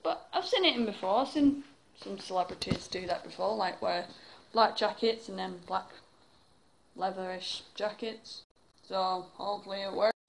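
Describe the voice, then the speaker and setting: A woman's voice: medium pitch, normal speed, creaky, slight background noise, rough recording. A young woman from England chatting casually to a phone camera held at arm's length, rambling with lots of fillers. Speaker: vlogger. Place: bedroom, then indoors at home.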